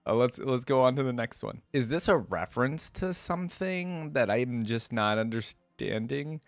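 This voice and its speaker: A man's voice: medium pitch, animated, normal speed, no room sound. A man hosting a reaction video, giving casual commentary and thinking aloud in a conversational way as he weighs his opinions.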